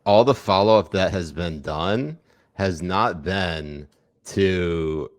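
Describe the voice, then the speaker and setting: A man's voice: low-pitched, slow, no room sound, studio quality. A man co-hosting a casual political podcast, wry and profane, with many fillers and restarts. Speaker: podcast co-host.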